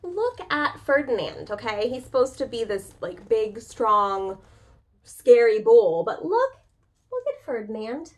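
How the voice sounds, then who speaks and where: A woman's voice: on the high side, big pitch swings, a little slow. A woman reading a children's picture book aloud like a preschool teacher, expressively, with casual, chatty asides and questions to young listeners. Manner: informal, chatty explanations.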